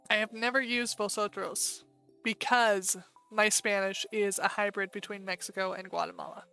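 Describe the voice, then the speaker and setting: Smooth-voiced chatty American woman streamer. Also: mid-range voice, a bit bright. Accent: American English. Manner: chatty, thinking aloud, quick asides. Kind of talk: casual live-stream commentary.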